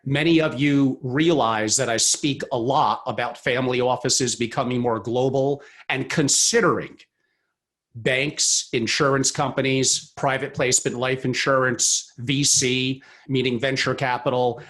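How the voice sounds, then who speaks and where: A man's voice: medium pitch, fairly bright tone. Formal male podcast host. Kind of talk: scripted intro then interview questions.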